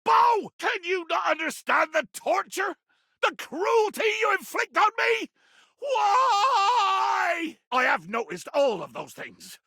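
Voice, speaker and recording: An actor playing a grandiose, menacing pirate captain in a video game, raspy and theatrical, haughty with archaic nautical insults. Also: male voice, extremely high pitch, sing-song, slow pace, sharp tone, no room sound, shouting, clean studio sound, heavily acted. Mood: furious, exasperated. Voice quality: gravelly, raspy. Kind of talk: video game character acting.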